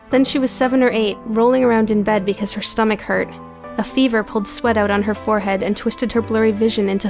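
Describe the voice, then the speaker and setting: A woman's voice: mid-range voice, darkish tone. Female narrator, mid-range voice, scripted story prose with music underneath. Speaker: audio book narrator.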